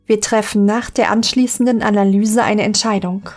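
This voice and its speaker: A woman playing a calm researcher in an audio drama, measured and official. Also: medium pitch, faint music behind, close to an everyday voice. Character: calm female researcher questioning a test subject.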